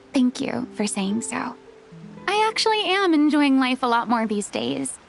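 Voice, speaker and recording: A woman's voice: high-pitched, big pitch swings, slow, vocal fry, faint music behind, full character voice. A woman playing a polite, easily flustered young former stage star in a video game, with a theatrical delivery. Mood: warm, curious.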